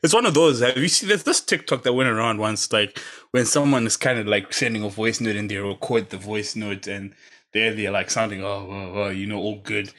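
A man co-hosting a podcast in relaxed chat, laughing often and dropping in fillers. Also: on the low side, a little fast. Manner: laid-back, laughs often, many fillers. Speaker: podcast co-host. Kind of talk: casual chat.

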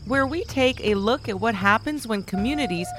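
A woman's voice: on the high side, animated, normal speed, music in the background. A Canadian woman reading a scripted podcast introduction as its host. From Manitoba, Canada.

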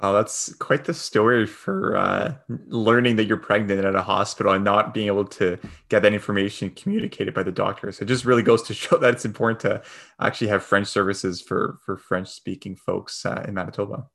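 Gravelly low male interviewer. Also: fairly quick, a bit bright. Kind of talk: interview conversation.

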